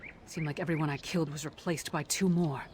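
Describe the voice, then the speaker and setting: A man's voice: a little high, fairly even intonation, brisk, slight background noise, lightly acted. An actor playing a blunt, dry-humored male warrior companion in a video game, with faint music behind. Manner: blunt, dry, matter-of-fact.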